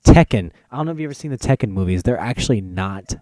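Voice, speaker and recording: Mellow young man in casual podcast chat. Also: fairly low pitch, animated, fast talker, no room sound. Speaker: podcast host.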